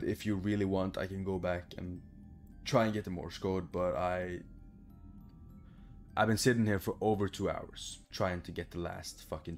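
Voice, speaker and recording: Low, creaky young man gaming. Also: darkish tone. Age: young adult. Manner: sweary, informal. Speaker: gaming video creator. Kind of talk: casual gameplay commentary. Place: small bedroom, indoors.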